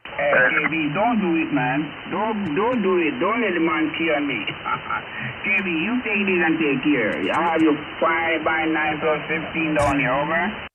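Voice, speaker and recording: A man's voice: a little high, a little slow. Man on a hissy, narrow radio signal. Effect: radio transmission. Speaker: amateur radio operator calling in. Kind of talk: casual radio exchange.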